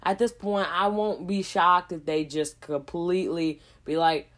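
A woman hosting a casual solo podcast, hoarse from a cold, rambling in a slangy way with lots of asides. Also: on the low side, little pitch movement, unhurried, a bit bright. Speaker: podcast host.

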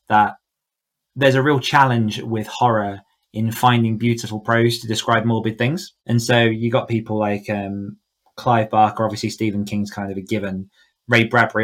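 A man speaking casually and reflectively as an interview guest, feeling out his phrasing in a thoughtful way. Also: on the low side. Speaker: guest author on a podcast.

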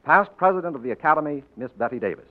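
A man's voice: a little high, animated, very fast. A man announcing a 1940s radio program in a formal, grand, emphatic style. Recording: tinny vintage radio, loud orchestral music.

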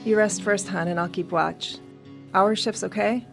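A woman's voice: mid-range voice, steady tone, unhurried, gravelly. Woman playing tough middle-aged survivor mom, suspicious and bossy, audio drama, bit of music under it. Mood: matter-of-fact. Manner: terse, threatening, foul-mouthed. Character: wary armed survivor mother, tough, commanding, suspicious. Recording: music behind the voice.

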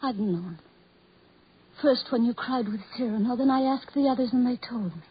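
Husky, earnest woman, vintage radio. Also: a little high, normal speed. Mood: pleading, loving. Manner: earnest, melodramatic. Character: tender, devoted blind woman pleading for love. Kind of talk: 1940s radio horror drama acting. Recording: muffled old 1940s radio recording.

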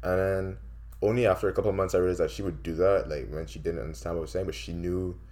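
A woman co-hosting a podcast, speaking quickly and sometimes mumbling in casual chat. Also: a bass voice, steady tone, warm tone. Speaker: podcast co-host.

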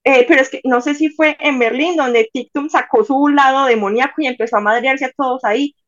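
A young woman from Colombia, a podcast guest chatting casually and telling animated stories. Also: sits high, fairly quick, muffled recording. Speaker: podcast guest, Instagram page admin. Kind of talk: casual chat.

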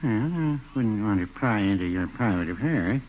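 An actor playing a mild older shopkeeper in a 1940s radio comedy, hesitant, understated and dryly sly. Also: male voice, on the low side, lively intonation, moderate pace, phone-quality sound, close to an everyday voice. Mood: slyly curious. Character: mild, sly, noncommittal older male small-town shopkeeper. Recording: scratchy, band-limited 1940s radio broadcast.

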